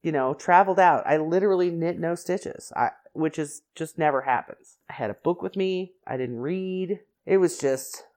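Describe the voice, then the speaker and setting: A woman with a low-pitched voice talks through her own podcast in a loose, chatty way. She wanders off into asides as she goes, and her voice has a slight rasp and the stuffiness of someone still getting over the flu. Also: normal speed, few pauses, a little mellow. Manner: chatty and rambling, many "like" and "you know" asides. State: slightly raspy and congested after the flu. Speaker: podcast host. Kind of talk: casual podcast monologue.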